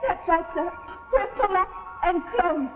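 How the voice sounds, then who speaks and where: A woman's voice: very high-pitched, lively intonation, unhurried. A woman playing a firm servant girl at an inn, politely turning people away in an old 1940s television suspense drama. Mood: polite, unyielding. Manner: deferential but unyielding, formulaic politeness. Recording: tinny, echoing 1949 television soundtrack with music.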